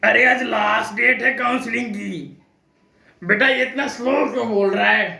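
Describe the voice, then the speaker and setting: A man's voice: very high-pitched, steady tone, moderate pace, gravelly, bright, forceful tone, full character voice. A man playing an impatient, irritable parent on a helpline call in a comic skit, exasperated and urgent, in an echoing room. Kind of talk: comic stage skit acting. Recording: roomy, echoing sound.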